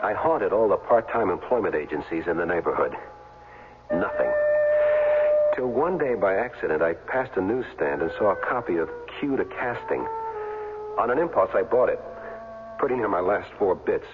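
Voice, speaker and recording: A washed-up young football player in a vintage radio drama. His low voice swings expressively through wry, colloquial lines. The recording is dull, with music underneath. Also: very animated, moderate pace. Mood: wry, reflective. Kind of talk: 1970s radio drama acting and first-person narration.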